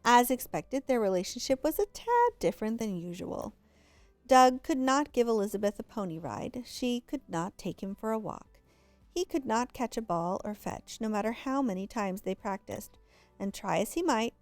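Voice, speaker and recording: A woman's voice: fairly high pitch, expressive, moderate pace, no echo, faint music behind. A woman reading a children's story aloud expressively, drawing out words for emphasis. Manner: draws out and stresses words for emphasis. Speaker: storybook reader.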